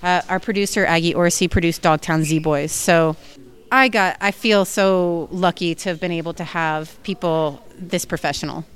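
A woman's voice: on the low side, normal speed, smooth. A woman film director answering interview questions in an earnest, enthusiastic way, with frequent fillers.

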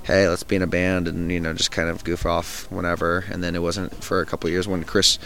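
A man's voice: low-pitched, little pitch movement, a little fast, fairly bright tone, slight background noise. A young man who sings in a punk band, answering interview questions in a rambling, casual way with lots of fillers. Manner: rambling, many fillers and restarts. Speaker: lead vocalist and guitarist of a punk band.

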